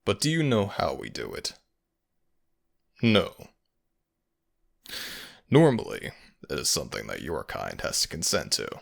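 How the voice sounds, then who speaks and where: Man playing a low, creaky, taunting fox-spirit villain. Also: huge pitch swings, heavily acted. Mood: silky taunting. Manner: slow, theatrical, taunting menace. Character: sadistic, mocking male nogitsune fox spirit villain. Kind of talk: ASMR audio roleplay acting.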